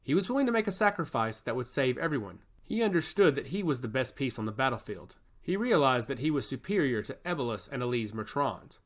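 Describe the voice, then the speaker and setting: Man acting god-touched schemer, fantasy story, smug, needling, theatrical, highly varied intonation. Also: very animated, brisk, hardly any pauses. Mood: insistent. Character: smug, teasing god-touched male emissary, giggling schemer. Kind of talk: fantasy audio story character.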